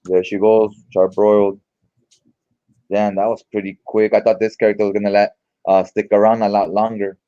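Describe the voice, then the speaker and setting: Man, comic reviewer, casual slangy commentary, mellow dark tone, dull lo-fi recording. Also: on the low side, flat delivery, moderate pace, clear, resonant tone. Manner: informal, slangy and mildly profane. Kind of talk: casual read-along commentary.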